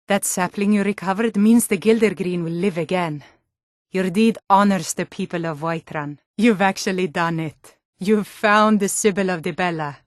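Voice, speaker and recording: A woman's voice: mid-range voice, dry recording, clean studio sound, close to an everyday voice. A woman playing a stern, blunt Nord city guard in a fantasy video game, guarded and plain-spoken. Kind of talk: video game character voice lines.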